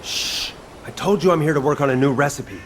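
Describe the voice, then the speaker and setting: A man's voice: fairly high pitch, fairly quick, a little hiss or hum, close to an everyday voice. A man voicing a laid-back, sardonic young chef in a video game, speaking casually and expressively. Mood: flustered, defensive.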